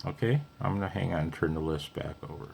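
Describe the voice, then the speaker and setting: A man's voice: sits low, fast, creaky, a little mellow, a little hiss or hum. A man giving a casual, unscripted hobby tutorial, rambling conversationally as he thinks aloud.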